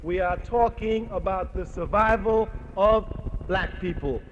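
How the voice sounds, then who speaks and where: A man delivers a speech with steady emphasis, driving his points home by repeating them. The sound is muffled and lo-fi, and a long hall reverb trails his words. Faint noise sits underneath. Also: high voice, unhurried. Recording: dull, roomy archival speech recording.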